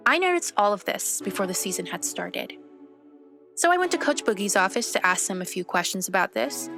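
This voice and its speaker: A woman's voice: mid-range voice, very animated, a little fast, creaky, over music. A woman acting as a determined teenage girl, an aspiring private eye and school reporter, in a scripted audio drama. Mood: earnest, narrating. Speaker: narrator and lead actor. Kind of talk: scripted audio drama, first-person narration and dialogue.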